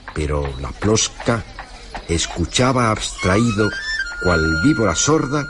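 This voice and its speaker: Low-voiced male literary narrator over music. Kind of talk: dramatized literary radio narration. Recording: music playing behind the narration.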